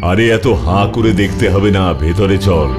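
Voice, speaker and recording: A man's voice: low voice, measured pace, over loud music. A man voicing a strong, firm but teasing big-brother superhero in a children's cartoon. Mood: scolding.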